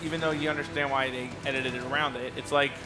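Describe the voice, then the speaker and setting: A man's voice: medium pitch, little pitch movement, fast, over music. A man giving casual, sarcastic and profane commentary as he plays a video game. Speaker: let's play host playing the game.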